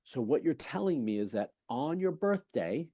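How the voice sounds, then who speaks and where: A man's voice: mid-range voice, very animated, moderate pace, warm tone, slightly rough, no room sound, clean studio sound. A man speaking as a fertility specialist in a podcast interview, emphatic and persuasive, asking rhetorical questions and retelling talks with patients. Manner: conversational, retells patient exchanges.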